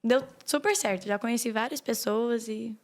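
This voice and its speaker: Young brazilian woman rambling on a podcast, kinda high voice. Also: normal speed. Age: young adult. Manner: rambling, many fillers and restarts. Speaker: podcast guest. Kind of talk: casual chat.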